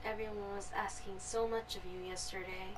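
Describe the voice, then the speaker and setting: A woman's voice: medium pitch, little pitch movement, fairly quick, distant mic, over music, poor audio. A woman streamer reacting to a game with brief, laid-back remarks. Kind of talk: casual reaction chat.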